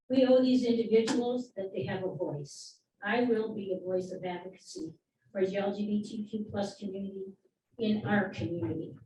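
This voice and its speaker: Earnest woman speaking in an echoey hall. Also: medium pitch. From Michigan. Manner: earnest, steady advocacy speech. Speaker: public commenter. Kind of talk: prepared public comment at a meeting. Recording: echoey room, far from the mic, faint noise.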